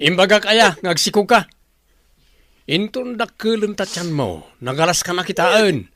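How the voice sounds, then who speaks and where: A man's voice: sits high, animated, measured pace, fairly bright tone, dry recording, studio quality, heavily acted. A man with an Ilocano Filipino accent playing a callous, abusive boyfriend in a radio serial, scornful and harsh. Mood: angry, mocking. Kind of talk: radio serial drama acting.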